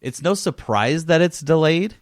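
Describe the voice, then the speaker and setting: A man's voice: fairly high pitch, expressive, normal speed, no echo, clean studio sound. A man co-hosting a podcast, chatting casually about gaming news and thinking aloud in an informal, emphatic way. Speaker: podcast co-host.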